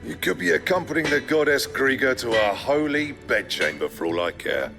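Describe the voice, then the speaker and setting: Gravelly, surly blacksmith. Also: male voice, medium pitch, expressive, normal speed, music in the background. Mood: surly, scornful. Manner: gruff, dismissive. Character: surly, gruff male blacksmith, impatient and scornful. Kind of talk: fantasy game cutscene acting.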